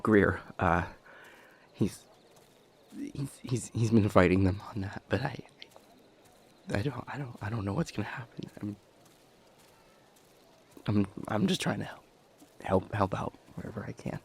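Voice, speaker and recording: A man acting an anxious, kind young helper at a disaster in an audio roleplay, stammering heavily in a soft, hesitant voice. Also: fairly low pitch, measured pace, long pauses, a little hiss or hum. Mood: anxious, shaken. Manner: heavy nervous stammer, hesitant.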